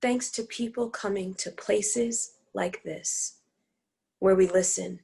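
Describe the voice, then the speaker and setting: A woman reading dramatic scripted narration in a measured storytelling manner. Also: medium pitch, steady tone, gravelly, dark tone.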